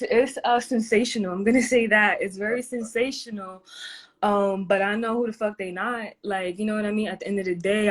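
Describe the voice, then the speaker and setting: A woman with a slightly low voice talks casually over a call, drifting and restarting her sentences with lots of fillers. A faint hiss sits under her voice. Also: poor audio. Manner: rambling, many fillers and restarts. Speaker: guest on a live stream. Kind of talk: casual chat.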